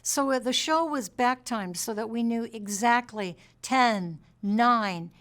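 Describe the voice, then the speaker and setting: Woman recounting events with measured emphasis. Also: a little high, a bit bright. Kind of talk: storytelling documentary interview.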